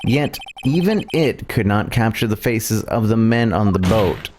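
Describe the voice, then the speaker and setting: A man streaming a video game and reading its dialogue aloud theatrically, sometimes haltingly. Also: on the low side, lively intonation, a little mellow, slight background noise. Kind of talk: dramatic read-aloud game playthrough.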